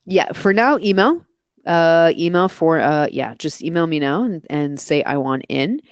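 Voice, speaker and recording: A woman's voice: sits low, expressive, measured pace, no echo, dull audio. A woman with a voice suited to radio, answering questions as a guest expert in a live webinar and explaining her methods step by step. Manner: practical, explains in steps with self-corrections.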